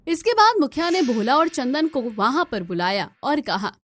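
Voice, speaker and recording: A woman's voice: sits high, very animated, normal speed, fairly bright tone, slight background noise. A woman narrating a scripted cartoon story as the storyteller.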